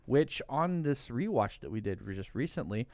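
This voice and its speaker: Animated, clear-voiced man chatting casually. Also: mid-range voice, normal speed, no echo. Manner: profane, irreverent, joking. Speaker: podcast host. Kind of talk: casual comedic chat, recapping a film.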